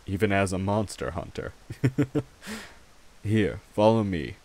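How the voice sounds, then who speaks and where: A man voicing a courtly, protective vampire king in an ASMR roleplay, gentle and wry, speaking formally and reassuringly to the listener without hurry. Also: fairly low pitch, big pitch swings, takes it slowly, exaggerated voice. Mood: calm, protective.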